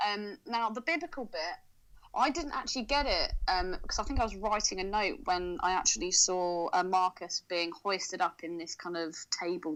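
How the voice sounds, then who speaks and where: Bright-voiced woman, casual podcast banter. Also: mid-range voice, low-quality recording. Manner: teasing, sweary banter. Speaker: podcast co-host. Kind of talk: casual film chat.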